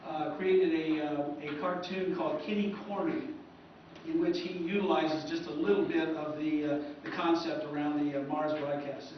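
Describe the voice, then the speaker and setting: A man giving an informal history talk at a convention, conversational and halting, recorded from far off in an echoey room. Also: fairly high pitch, steady tone, dull audio, slight background noise. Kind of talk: informal lecture.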